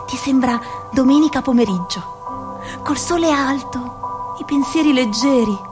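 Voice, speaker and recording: Woman doing a restless young dreamer, kinda high voice, chanty poetic lines, music under it. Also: unhurried. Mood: dreamy. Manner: rhythmic, incantatory wordplay. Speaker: narrator and lead actor. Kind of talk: poetic theatrical monologue. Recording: music playing behind.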